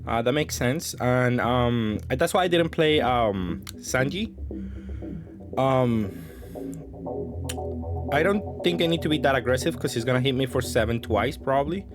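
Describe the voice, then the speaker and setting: A man's voice: medium pitch, animated, measured pace, pauses often, over music. A man giving casual, unscripted card game commentary as a host, thinking aloud with some hesitation.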